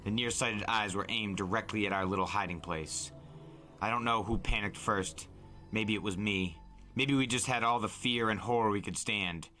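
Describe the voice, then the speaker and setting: Male dramatic narrator: low, sharp-edged, intense, deliberate audiobook delivery over music. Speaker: storyteller, podcast narrator.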